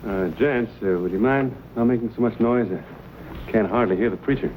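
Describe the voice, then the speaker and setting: An actor playing an easygoing, dryly wry frontier adventurer in an old Western drama, speaking with folksy politeness. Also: male voice, fairly low pitch, normal speed, darkish tone, natural voice. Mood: calm, wry authority. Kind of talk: mid-century Western drama acting. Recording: dull, lightly noisy old broadcast recording.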